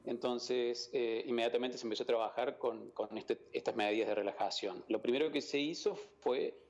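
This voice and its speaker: A man from Córdoba with a Córdoba Spanish accent, speaking as a remote interview guest. He hesitates often, filling pauses with "eh". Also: medium pitch, steady tone, darkish tone, lo-fi sound. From Argentina, Córdoba province. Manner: hesitant, many "eh" fillers and restarts.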